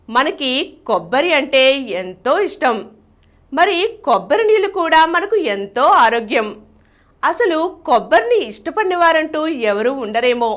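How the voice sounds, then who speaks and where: A woman's voice: sits high, a bit bright. A woman reading a scripted health narration in a conversational way, addressing listeners directly.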